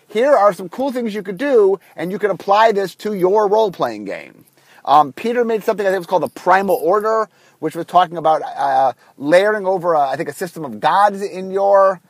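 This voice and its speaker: A man talking through a cold, his voice husky, rambling along with many ums and false starts. His voice sits fairly high and sounds close and dry. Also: no echo. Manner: rambling, full of ums and restarts. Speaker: podcast host. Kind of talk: casual solo podcast storytelling. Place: car, recording on the drive to work.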